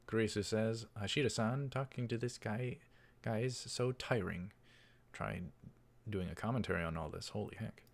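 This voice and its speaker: A man's voice: fairly low pitch, moderate pace, gravelly. A man doing a let's play, reading visual novel dialogue and narration aloud and adding casual asides. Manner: narrates each line with dialogue tags and aside remarks.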